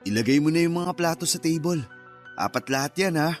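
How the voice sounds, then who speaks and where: A man's voice: fairly high pitch, a little fast, lightly acted. An actor playing a caring Filipino father and husband in a Tagalog radio drama. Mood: gentle, relaxed. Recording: music under the voice.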